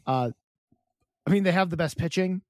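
A man co-hosting a sports podcast who talks in a chatty, animated way, often repeating words and restarting sentences. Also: high-pitched, normal speed, dry recording, studio quality. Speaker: podcast co-host. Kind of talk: casual sports chat.

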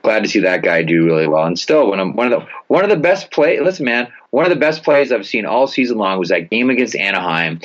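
Male sports pundit, very deep register, casual but punchy delivery, slightly muffled lo-fi sound. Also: sing-song, fast talker, few pauses. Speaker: guest hockey commentator. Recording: dull lo-fi sound.

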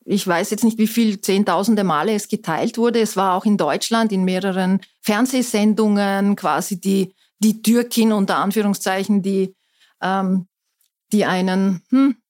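A middle-aged woman, a guest chatting casually in a podcast, self-deprecating and quick to laugh. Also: mid-range voice, steady tone, darkish tone. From Austria. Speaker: guest, former member of parliament.

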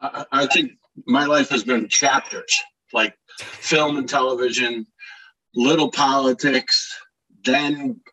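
Casting: male, late 50s, raspy and bright, rambling enthusiastic podcast guest. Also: medium pitch, fairly even intonation, slow, poor audio. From Scranton, Pennsylvania, United States. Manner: rambling, repeats words, enthusiastic. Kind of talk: casual interview chat.

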